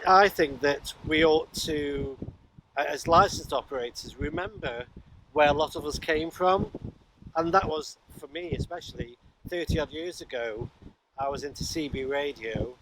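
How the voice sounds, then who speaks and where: Higher-voiced middle-aged man, noisy recording. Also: a bit bright. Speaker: amateur radio vlogger. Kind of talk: casual informal vlog talk. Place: windy high ground, in and around a parked car. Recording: windy outdoor noise, clicks.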